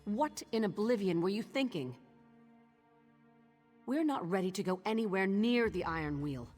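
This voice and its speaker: A woman's voice: mid-range voice, expressive, normal speed, a little mellow, close to an everyday voice. An actress playing a weary, guilt-ridden woman who leads a thieves' guild in a video game, speaking in an earnest, terse, commanding way. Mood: stern, worried. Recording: long reverb, music behind.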